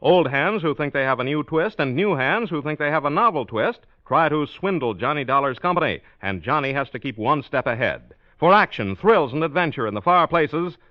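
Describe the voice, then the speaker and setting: A man's voice: on the high side, expressive, brisk, hardly any pauses, no room sound. A man reading a punchy promotional announcement as a 1940s radio network announcer. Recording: dull old radio recording.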